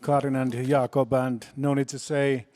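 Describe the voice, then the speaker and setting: A man's voice: medium pitch, flat delivery, normal speed, a little mellow, slight background noise. A man hosting a conference session, thanking and introducing speakers from the stage. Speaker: session host.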